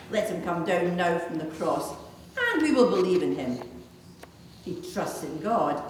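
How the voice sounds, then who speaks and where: A woman reading the parts of mocking, accusing priests and crowd in a dramatized church scripture reading. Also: on the low side. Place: large echoey church interior. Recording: distant, echoey church, faint noise.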